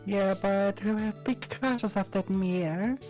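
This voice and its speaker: A woman's voice: mid-range voice, measured pace. A woman joking casually with friends during a game stream. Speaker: participant in a group game stream. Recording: music behind the voice.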